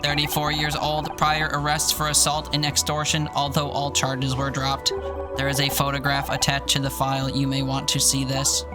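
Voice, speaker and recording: A man voicing a helpful, matter-of-fact robot sidekick in a detective game, speaking in a measured, precise way. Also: mid-range voice, completely flat delivery, loud music behind the voice, natural voice.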